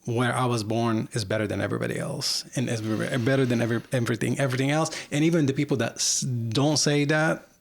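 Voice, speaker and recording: Man, from Lebanon, gravelly mid-range voice, podcast guest, candid, rambling, filler-heavy. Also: medium pitch, fairly even intonation, fast, hardly any pauses. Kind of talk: casual conversation. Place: at home.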